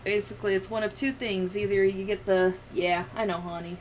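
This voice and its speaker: A woman livestreamer chatting casually, rambling and thinking out loud as she goes. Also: medium pitch, steady tone, a little fast, slight background noise.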